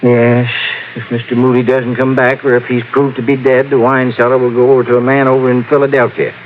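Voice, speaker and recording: An actor playing a chatty, amiable small-town storekeeper and lawyer in an old-time radio drama, rambling and easily sidetracked. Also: male voice, medium pitch, flat delivery, fairly quick, lightly acted. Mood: helpful, folksy. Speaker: supporting actor. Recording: phone-like old radio sound, faint noise.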